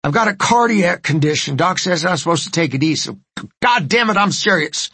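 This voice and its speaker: A man voicing a frightened older man with a heart condition, pleading and shouting in pain in a gravelly, husky voice. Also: medium pitch, sing-song, moderate pace, no echo, full character voice. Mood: panicked. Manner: strained, pleading, raising his voice in pain.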